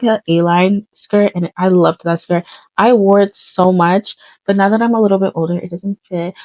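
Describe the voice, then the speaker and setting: Young woman, 20s, casual vlog chat, rambling with fillers, lowish voice, dry close sound. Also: a little mellow, no echo, low-quality recording. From Uganda. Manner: chatty, lots of fillers and self-corrections. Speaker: fashion designer vlogger. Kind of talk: casual vlog storytelling while doing makeup.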